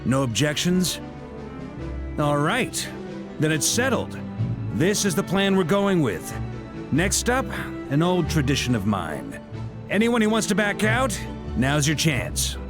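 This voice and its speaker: A seasoned knight grand master in a video game. His delivery is animated, frank and commanding, yet reassuring. Music plays softly underneath. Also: on the high side, slow, long pauses. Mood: confident. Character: seasoned, jovial male knight grand master leading a battle briefing. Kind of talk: English game dub acting. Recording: music behind the voice.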